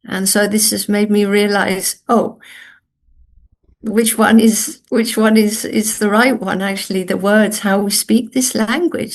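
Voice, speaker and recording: Slow, thoughtful nun. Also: female voice, mid-range voice. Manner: thoughtful, with repeated restarts. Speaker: Buddhist nun student. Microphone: video call.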